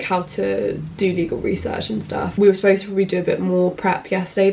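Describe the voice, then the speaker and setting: A woman's voice: mid-range voice, gravelly, a little mellow, far from the mic, slight background noise, rough recording. A woman in her twenties chatting casually in a study vlog, sounding bunged up from a cold, with a rambling, filler-heavy way of talking. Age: 20s. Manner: chatty, rambling, lots of likes and restarts. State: congested with a cold. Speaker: vlogger.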